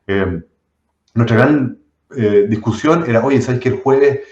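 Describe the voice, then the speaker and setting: A man's voice: mid-range voice, unhurried, darkish tone. A middle-aged man with a Chilean accent, whose first language was not Spanish, speaking as a guest in a live-stream conversation and often restarting his sentences. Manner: reflective, many restarts. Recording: dull roomy echo.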